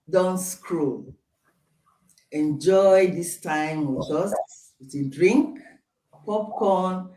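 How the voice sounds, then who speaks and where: A woman from Nigeria hosting a live online talk show, speaking slowly and formally as she welcomes viewers. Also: lowish voice, dark tone, echoey room, distant mic, poor audio. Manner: slow, deliberate, formal welcomes.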